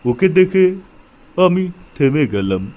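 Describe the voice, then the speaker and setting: A man playing an older, easygoing village uncle in an audio story, reminiscing in measured phrases with savouring pauses. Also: on the high side, sing-song, warm tone, lo-fi sound. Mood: ominous, nostalgic. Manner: measured, pausing, savouring his words.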